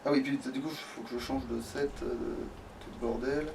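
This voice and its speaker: A man's voice: mid-range voice, fairly even intonation, normal speed, vocal fry, a little mellow. A man chatting casually while playing a video game, rambling and informal, with frequent swearing. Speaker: let's play gamer, playing. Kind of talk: casual gaming chat. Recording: distant mic, faint background noise.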